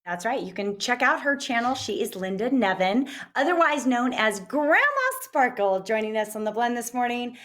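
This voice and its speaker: Female morning TV co-host, slightly high voice, friendly interviewing, gushing and encouraging. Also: normal speed, hardly any pauses, a bit bright.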